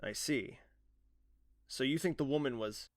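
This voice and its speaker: An actor playing a cocky, blunt rival turned reluctant ally in a fantasy audio drama, with a brash, wry manner. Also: male voice, mid-range voice, a little slow, very noisy, natural voice. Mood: dismissive.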